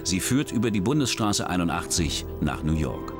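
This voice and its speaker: A man's voice: deep voice, very animated, moderate pace. A man reading scripted true-crime documentary narration as a voice-over. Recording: music bed under voice-over. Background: background music.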